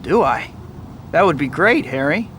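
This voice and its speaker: A man's voice: a little high, sing-song, a little slow, fairly bright tone, slight background noise. A man playing an earnest, awkward young student hero in a video game, boyish and wry. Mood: sheepish, friendly. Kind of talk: video game cutscene acting.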